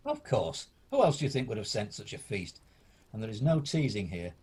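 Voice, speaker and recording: A man playing old Ebenezer Scrooge, a gruff miser turned benefactor, in an amateur radio play, with a husky, deliberate, theatrical delivery. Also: fairly low pitch, big pitch swings, darkish tone, exaggerated voice. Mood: kindly.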